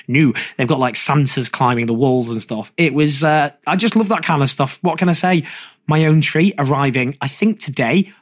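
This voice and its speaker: A man, a political journalist, giving casual commentary in a chatty, wry and self-mocking way. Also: on the high side. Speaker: political journalist and podcast host.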